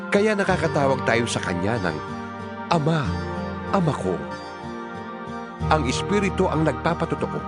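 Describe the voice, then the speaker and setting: Expressive man reading scripture dramatically. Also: mid-range voice. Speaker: scripture narrator.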